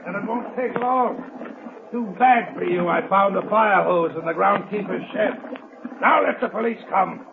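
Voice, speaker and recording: An actor playing a ruthless, gloating gangster villain in a menacing, taunting 1930s radio style. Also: male voice, sits high, moderate pace, gravelly, narrow, tinny audio. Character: ruthless gloating double-crossing swindler and killer villain. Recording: scratchy band-limited 1930s radio, echoey, noisy.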